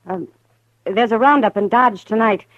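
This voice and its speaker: An actress playing a worried grown female saloon keeper who speaks softly and hesitantly, in a 1950s radio western. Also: medium pitch, normal speed, dry recording, natural voice. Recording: dull old radio broadcast.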